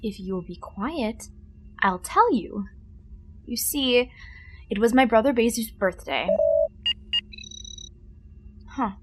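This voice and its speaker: A woman's voice: fairly high pitch, expressive, slow pace, long pauses, husky, heavily acted. A woman playing a dry, self-possessed starship officer in an audio drama, matter-of-fact in delivery. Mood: dry storytelling.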